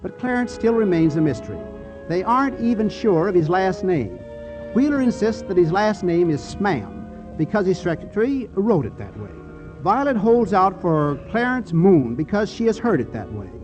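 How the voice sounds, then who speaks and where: A man's voice: sits high, darkish tone. A man hosting and narrating a 1940s radio comedy in a wry, theatrical scripted style. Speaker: host and narrator. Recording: vintage 1940s radio broadcast.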